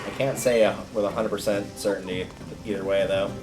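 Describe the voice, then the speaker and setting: A man with a fairly low voice gives casual gameplay commentary on a livestream. He talks colloquially, thinking out loud as he plays, and game music runs clearly behind him. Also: fairly even intonation, brisk. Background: video game music.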